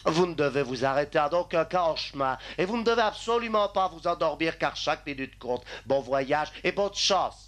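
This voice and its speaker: A man's voice: on the high side, lively intonation, normal speed, few pauses, a bit bright, a little hiss or hum. A man playing a kindly, conscientious doctor, earnestly giving instructions in a French cartoon dub. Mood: urging.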